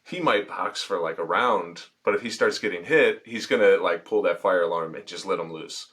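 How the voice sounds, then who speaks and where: Male interview host, lowish voice, easygoing, talks through questions with fillers. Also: moderate pace. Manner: relaxed, rambling questions with many fillers.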